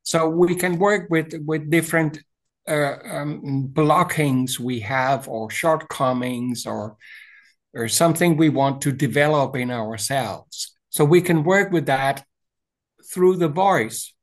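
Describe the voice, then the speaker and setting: An older Danish-accented man talks slowly and haltingly, with a slightly raised pitch. He clears his throat often and restarts his phrases while speaking through a video call webcam in a small room. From Denmark. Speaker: author and voice teacher. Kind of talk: interview over video call.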